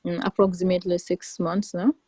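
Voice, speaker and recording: A woman role-playing a patient in a mock exam, speaking haltingly over a video call with many ums. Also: lowish voice, flat delivery, dark tone, husky, dry recording.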